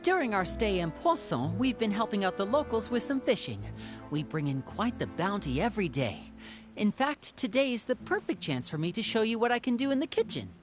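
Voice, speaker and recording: Woman voicing a lively, courteous young man. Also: mid-range voice, brisk, soft background music, lightly acted. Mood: genial, helpful. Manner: polite, smooth, welcoming. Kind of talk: video game voice acting.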